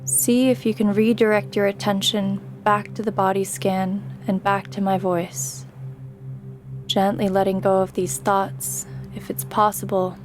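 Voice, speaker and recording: Very slow, even-toned woman guiding meditation. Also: mid-range voice. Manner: gentle, soothing, unhurried instruction with long pauses. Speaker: meditation guide. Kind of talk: guided sleep meditation, scripted narration.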